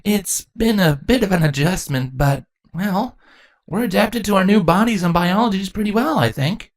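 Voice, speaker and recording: A man voicing a sheepish, awkward male changeling king with a hesitant, halting delivery in a storybook reading. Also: high-pitched, expressive, pitched above the performer's own voice. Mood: more confident.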